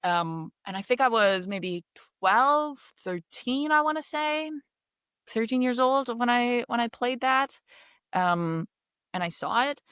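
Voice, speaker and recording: A woman's voice: fairly high pitch, animated, takes it slowly, a bit bright, clean tone, no room sound, clean studio sound. A woman in her thirties from Kosovo whose first language is Albanian, speaking as a podcast guest in a reflective, casual way, often adding "like" and "right?". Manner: reflective, peppers speech with "like" and "right?". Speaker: podcast guest, game designer. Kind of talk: casual interview chat.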